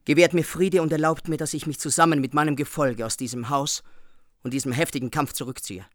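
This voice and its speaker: Wary nobleman played by a man, earnest, bright-toned, slightly high. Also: steady tone, fairly quick, dry recording, clean studio sound, close to an everyday voice. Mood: pleading.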